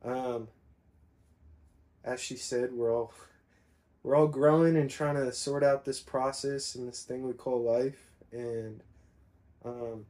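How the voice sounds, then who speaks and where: A man in his twenties, co-hosting a casual podcast with his mother. His voice is mellow and dark with a fairly flat delivery. He rambles loosely with plenty of fillers. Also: medium pitch, takes it slowly, pauses often. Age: 20s. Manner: relaxed, rambling, many fillers. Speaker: podcast co-host. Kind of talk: casual conversation.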